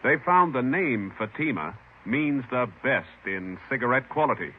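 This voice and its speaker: A man's voice: medium pitch, animated, measured pace. A man with an American accent announcing in a formal, official 1950s radio style. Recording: tinny old radio recording.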